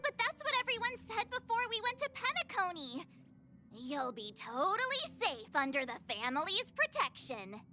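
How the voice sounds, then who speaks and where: A woman's voice: very high-pitched, very animated, moderate pace, over music. A woman voicing a chatty, bubbly young teenage girl in a video game, eager for fun. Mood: doubtful, whiny.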